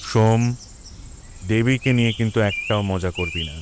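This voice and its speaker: A man's voice: lowish voice, a little slow, slight background noise, lightly acted. An actor playing a cautious, respectful young village man in an audio drama, speaking in a somewhat hesitant way. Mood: warning, reverent. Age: young adult.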